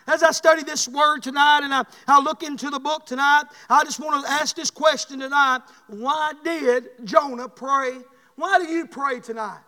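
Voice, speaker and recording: A man's voice: very high voice, pushed and bright. A man with a Southern US accent preaching a fervent, folksy evangelical sermon, exhorting a congregation in punchy phrases. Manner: exhortatory, folksy, repeatedly punctuating phrases with "hey". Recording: echoey hall with long reverb.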